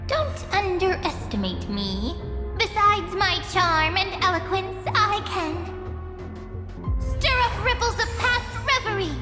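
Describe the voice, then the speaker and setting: A very high-pitched, plucky little sprite. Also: female voice, animated, takes it slowly, exaggerated voice. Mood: plucky, defiant. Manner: theatrical, bubbly, exclaiming. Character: small cute pink sprite girl, plucky and cheeky. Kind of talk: video game voice acting. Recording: echoey hall reverb, music underneath. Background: music.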